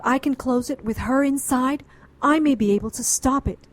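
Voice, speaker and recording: A woman's voice: sits high, normal speed, natural voice. A woman acting a grieving, defiant occultist doctor in an audio drama, speaking with earnest, indignant intensity. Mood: defensive, anguished.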